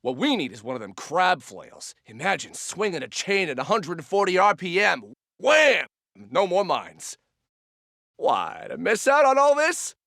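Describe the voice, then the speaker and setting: A man's voice: high-pitched, very animated, measured pace, creaky, sharp tone, no room sound, clean studio sound, full character voice. A man playing a joking, foul-mouthed combat engineer in a war game, sarcastic and salty. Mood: sardonic. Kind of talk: video game unit voice lines.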